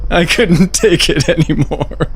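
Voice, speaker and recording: A man's voice: fairly high pitch, measured pace, slight background noise. A man reading a first-person horror story with an emotional, dramatic delivery. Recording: music behind the voice. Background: music.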